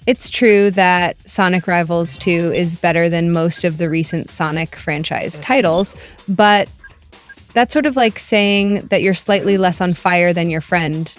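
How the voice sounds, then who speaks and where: A woman with a fairly low voice narrates a scripted game review over music. Her delivery is dry and critical, with a wry edge. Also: measured pace, clear, resonant tone.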